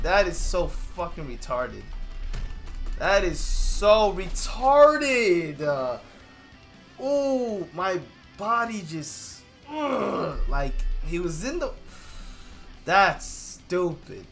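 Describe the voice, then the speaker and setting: A young man giving loose, excitable commentary over his own gameplay, swearing freely and going hoarse from losing his voice. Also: high voice, slow, soft background music. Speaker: gaming YouTuber.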